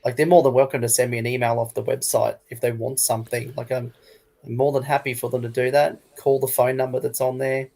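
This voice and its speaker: A man talks casually as a retail guest on a livestream. His delivery is rapid, with a creaky, gravelly edge to a medium voice. He keeps it conversational throughout. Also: mid-range voice, level tone, warm tone. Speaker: guest, online shop retailer.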